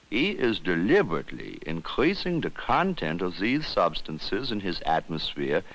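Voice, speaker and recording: A learned alien science officer and doctor in an educational space drama. He reports formally and precisely in a very low voice that moves expressively up and down. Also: full character voice, low-quality recording. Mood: earnest explaining. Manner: formal, precise, measured lecturing. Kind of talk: scripted educational film drama.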